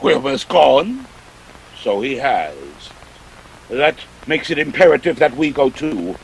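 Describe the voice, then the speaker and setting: Un uomo che interpreta un boss criminale pomposo, lento e teatrale. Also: male voice, mid-range voice, sing-song, takes it slowly, gravelly, slight background noise, full character voice, rough recording. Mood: jovial, composed. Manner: florid, theatrical, courtly speech with chuckles. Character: jovial, pompous, scheming fat older male crime boss. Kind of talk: 1940s detective radio play acting.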